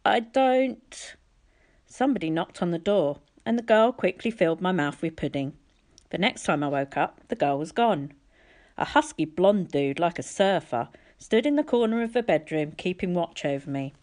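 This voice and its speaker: Low-pitched woman reading a story. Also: animated, normal speed, a little mellow. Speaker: audiobook reader. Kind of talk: storybook narration, reading aloud.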